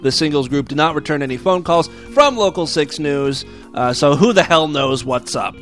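A man's voice: on the high side, normal speed, fairly bright tone, faint music behind. A man hosting a comedy podcast, reading odd news stories and voicemails in a crude, mocking way and laughing at his own jokes. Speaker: podcast host.

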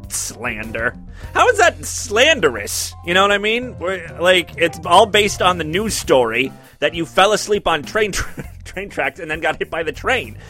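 High, animated male host with profane, sarcastic commentary. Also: unhurried, faint music behind. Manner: sarcastic, profane, reads listener emails aloud. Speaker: podcast host.

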